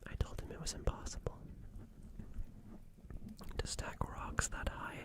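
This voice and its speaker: A performer voicing a gentle, friendly alien in a breathy whispered ASMR roleplay, soothing and rambling, repeating words. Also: measured pace, close to an everyday voice. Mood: wistful, musing. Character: gentle friendly alien comforting a crashed human.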